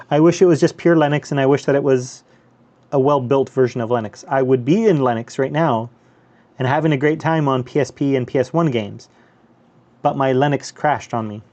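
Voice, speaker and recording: A man's voice: mid-range voice, normal speed, darkish tone. A man from the US and Canada, hosting a casual live gaming stream in a loose, rambling way with many fillers and restarts. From North America, US and Canada. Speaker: handheld gaming streamer, host. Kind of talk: casual live stream chat over gameplay.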